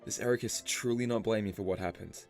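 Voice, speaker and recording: A man reading scripted story explainer narration in a measured, storytelling delivery. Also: fairly low pitch, a little mellow. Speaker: video narrator. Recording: music behind the voice. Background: background music.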